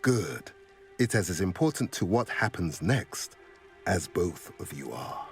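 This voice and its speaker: A wise, stately centaur seer from a video game. His low voice rises and falls expressively as he speaks in formal, measured, cryptic phrases. Music plays underneath. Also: sing-song, heavily acted. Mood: calm, formal. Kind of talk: dramatic video game cutscene acting.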